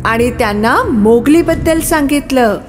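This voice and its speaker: High, lively, unhurried woman storyteller. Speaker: storyteller, narrator. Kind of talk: expressive scripted children's story narration. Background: music.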